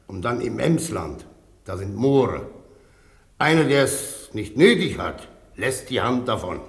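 Radio drama: solemn peasant elder, measured and slow, biblical cadence, close dry sound. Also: male voice, mid-range voice, husky, no echo, full character voice. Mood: earnest, urgent. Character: grave, steadfast narrator and peasant patriarch.

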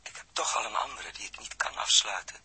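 An actor playing a grave, brooding stranded astronaut in a radio drama, speaking haltingly and intensely in a husky, breathy voice. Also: male voice, on the low side, sharp tone, in a whisper. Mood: hushed confession. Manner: halting, intense, pausing between phrases. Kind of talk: 1960s radio drama acting.

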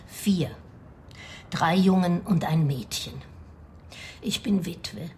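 An actress playing a grave, anxious middle-aged widow from the provinces in a radio crime drama, speaking hesitantly and with foreboding. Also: female voice, fairly low pitch, takes it slowly, a little mellow, a little hiss or hum. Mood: reserved, worried.

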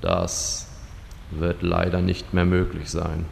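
An actor playing a dour, deferential servant of a fire lord in a comedic fantasy audio drama, speaking formally and deadpan. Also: male voice, low-pitched, slow, vocal fry, exaggerated voice. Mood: dry, solemn. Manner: formal, deadpan, solemn courtly phrasing. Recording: long hall reverb, faint noise.

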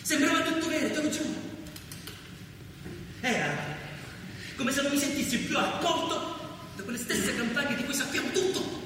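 A tormented bible-times brother, poetic stage delivery, highish male voice, big echoey space, far from the mic. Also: expressive, moderate pace, pauses often. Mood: troubled, haunted. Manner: intense, lyrical, insistent repetitions. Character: anguished biblical brother, a toiling man of the land. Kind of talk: poetic theatrical radio drama. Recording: very echoey, distant, noisy hall.

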